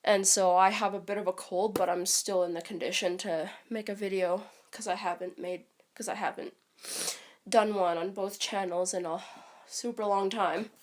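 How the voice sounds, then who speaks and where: A woman's voice: mid-range voice, fairly even intonation, normal speed, a little hiss or hum. A woman with a stuffy, congested voice from a cold, talking casually on a live stream and rambling with frequent ums and restarts.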